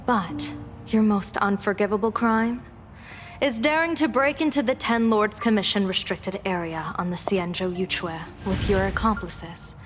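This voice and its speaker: A woman playing a coolly composed female general in a game cutscene, speaking formally and with measured authority. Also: medium pitch, natural voice. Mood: cold, accusing. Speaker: military general. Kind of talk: dramatic game cutscene acting. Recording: dramatic music behind.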